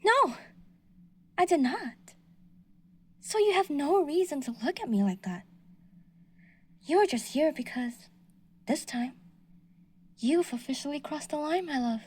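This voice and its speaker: A woman's voice: high voice, expressive, measured pace, pauses often. A woman playing a sweetly menacing yandere stalker. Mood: accusing. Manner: sweetly menacing, slips into whispers. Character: obsessive delusional female yandere stalker. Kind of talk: audio roleplay.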